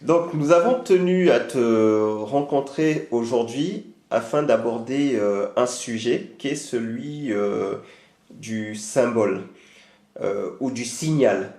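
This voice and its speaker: A man conducting an interview, asking measured questions with filler pauses. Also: mid-range voice, darkish tone, reverberant.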